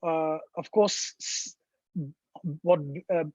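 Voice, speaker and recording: A man's voice: on the high side, steady tone, drawn-out words, darkish tone, no room sound, rough recording. A man from India, a professor answering seminar questions thoughtfully, with frequent restarts and fillers. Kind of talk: academic seminar talk.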